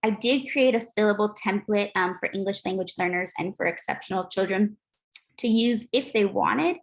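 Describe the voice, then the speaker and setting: A woman's voice: mid-range voice, normal speed. A woman teacher giving a prepared talk about her class, earnest and sprinkled with ums.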